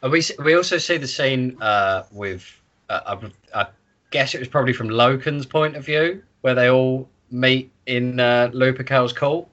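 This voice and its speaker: A man's voice: mid-range voice, moderate pace, a bit bright, lo-fi sound. A man co-hosting a podcast, casually discussing a book in an informal, sweary way. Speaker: podcast co-host.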